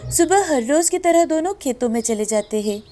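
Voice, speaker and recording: A woman narrates a scripted moral story in a high voice. She works as the storyteller, and music runs behind her reading. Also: animated, normal speed, dark tone. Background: background music.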